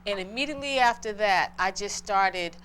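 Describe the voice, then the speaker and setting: A woman's voice: mid-range voice, normal speed, fairly bright tone, slight background noise, rough recording. A middle-aged woman from Boston, a guest entrepreneur earnestly telling her own story in an interview.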